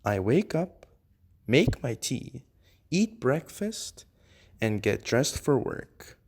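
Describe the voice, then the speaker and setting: Very slow, lilting storybook moose voiced by a reader. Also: medium pitch, very animated, darkish tone, breathy edge, full character voice. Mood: friendly, gentle. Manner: slow, deliberate, addressing children directly. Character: Alex the Moose, friendly storybook-reading moose of the enchanted woods. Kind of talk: gentle scripted read-aloud for young children.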